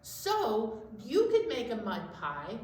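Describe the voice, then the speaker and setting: A woman's voice: on the high side, wildly swooping pitch, takes it slowly, darkish tone. A woman hosting children's storytime, chatting and asking simple questions for young children. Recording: distant mic, roomy echo, noticeable noise.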